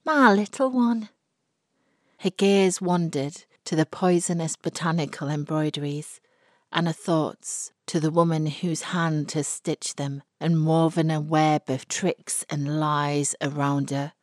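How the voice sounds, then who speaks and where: A woman reads scripted fiction as an audiobook narrator. Her voice is low and her pace slow, with deliberate, dramatic phrasing. The recording is close and dry, free of room sound. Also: level tone, darkish tone, dry recording.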